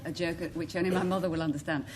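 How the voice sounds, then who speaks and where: A woman on a panel game, quick-witted and self-mocking as she bluffs a definition. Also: fairly low pitch, steady tone, very noisy.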